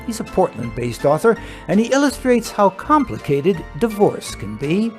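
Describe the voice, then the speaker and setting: A man's voice: high voice, big pitch swings, moderate pace, faint music behind. A man acting as MC, reading scripted introductions for a show. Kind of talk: scripted announcing.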